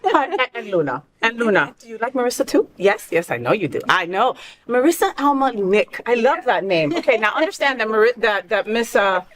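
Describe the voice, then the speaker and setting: A woman's voice: on the high side, very animated, fairly quick, fairly bright tone. Playful laughing woman talk-show host. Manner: playful, laughs often, teasing. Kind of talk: casual chat interview. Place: bedroom, in bed.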